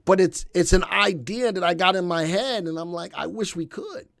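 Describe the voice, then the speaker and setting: A man's voice: high-pitched, moderate pace, gravelly, fairly bright tone, dry recording, studio quality. A man hosting a live market show, losing his voice, chatting in a folksy, rambling way and reading out viewer comments. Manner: folksy, rambling, thinks aloud. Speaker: market analysis show host.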